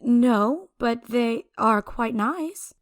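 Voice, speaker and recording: Woman acting a slow, lilting, curious mysterious wanderer. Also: on the high side, animated, dry recording, studio quality. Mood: politely evasive. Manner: polite, careful, formal. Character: polite, curious veiled female wanderer, an otherworldly creature. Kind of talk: fantasy audio drama acting.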